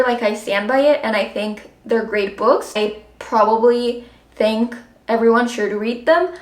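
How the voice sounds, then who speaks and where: Chatty woman, roomy echo. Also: a little high. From Colombia. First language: Spanish. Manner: chatty, frequent "like" fillers, upbeat. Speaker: book vlogger. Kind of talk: casual chat to camera.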